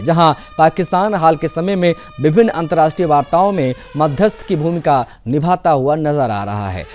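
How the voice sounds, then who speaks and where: A man's voice: a little high, a little mellow. A man presenting scripted news as narration in a formal, emphatic manner.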